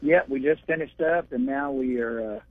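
A man's voice: medium pitch, normal speed. A man from Oklahoma, a touring musician, chatting easily as a guest over the phone and laughing now and then. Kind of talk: casual interview by phone. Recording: tinny phone line.

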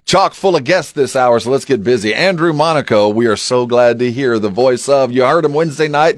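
A man hosts a sports radio morning show in a conversational broadcast style. His voice sits at a middling pitch, with a very dry studio sound. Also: dry recording. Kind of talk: lively radio show chat.

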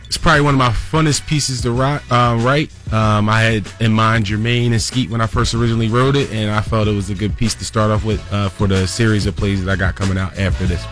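A man's voice: fairly low pitch, fairly even intonation, fast talker, hardly any pauses, fairly bright tone, soft background music. A man from Pennsylvania explaining his work as a playwright in a casual, informal radio interview. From Norristown, Pennsylvania. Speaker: playwright and producer, interview guest. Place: radio studio.

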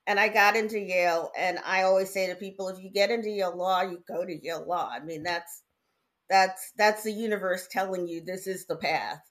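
A middle-aged woman who is a judge, raised in Connecticut, telling her story in a relaxed, articulate way as an interview guest. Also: fairly low pitch. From Indiana, raised in Connecticut. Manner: articulate, measured, chatty with laughs.

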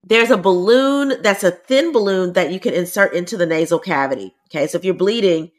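A woman's voice: on the low side, fairly quick. A woman with a stuffy, congested voice, co-hosting a casual podcast chat and explaining things plainly. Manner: animated, explains medical terms plainly. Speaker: podcast co-host.

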